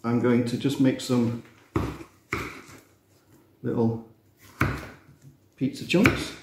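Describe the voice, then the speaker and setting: A man's voice: medium pitch, drawn-out words, creaky. Chatty man cooking in a roomy kitchen. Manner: chatty and self-mocking, with playful asides. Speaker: cooking instructor. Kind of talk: casual cooking demonstration. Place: glass-walled kitchen room facing a garden. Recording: roomy echo, faint background noise.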